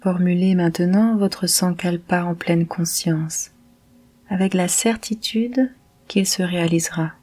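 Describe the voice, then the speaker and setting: Slow-paced woman guiding meditation. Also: fairly low pitch. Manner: calm, measured, soothing instruction. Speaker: meditation guide. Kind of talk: guided yoga nidra meditation.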